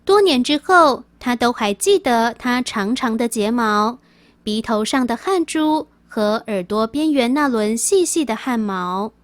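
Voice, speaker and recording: A woman's voice: a little high, expressive, a little slow, no room sound. A woman reading scripted quiz sentences aloud as the question reader.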